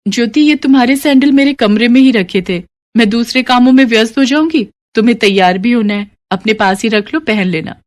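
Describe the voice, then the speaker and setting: Dutiful village daughter-in-law, played by a woman, meek, polite, raised pitch, for a cartoon family tale. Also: fairly quick, few pauses, close to an everyday voice. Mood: kind. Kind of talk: animated moral story character dialogue.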